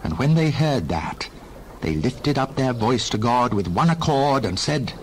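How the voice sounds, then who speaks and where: Male scripture narrator, expressive storytelling, lively swinging intonation, slight background noise. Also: mid-range voice, measured pace, low-quality recording. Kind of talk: scripted, dramatized Bible narration.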